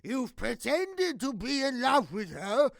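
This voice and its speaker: A man's voice: very high-pitched, sing-song, slow pace, creaky, dry recording, studio quality, exaggerated voice. A man playing an outraged, controlling noble father in an audio drama, declaiming his complaints indignantly. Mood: furious, accusing. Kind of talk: modernized Shakespeare audio drama acting.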